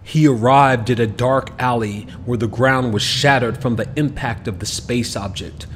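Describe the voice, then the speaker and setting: A man reading a children's picture book aloud as an expressive scripted narration, with music behind him. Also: medium pitch, moderate pace, slight background noise. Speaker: storybook narrator. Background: background music.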